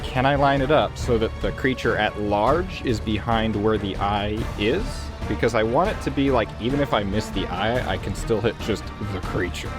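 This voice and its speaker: A man's voice: on the low side, moderate pace, hardly any pauses. A man playing a tabletop roleplaying game, chatting casually in an informal, questioning and joking way. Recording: clear music behind the voice. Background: music bed.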